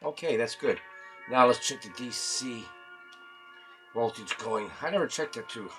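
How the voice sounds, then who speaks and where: A man's voice: medium pitch, lively intonation, moderate pace, fairly bright tone, soft background music. A man casually thinking aloud through a repair demonstration, mumbling to himself and trailing off mid-thought. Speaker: radio repairer hosting a repair video.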